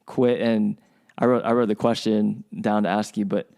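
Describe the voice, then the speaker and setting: Male podcast co-host, mellow low voice, loose conversational delivery. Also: little pitch movement, moderate pace, creaky, clear, resonant tone. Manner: casual, rambling, many restarts. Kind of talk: podcast interview.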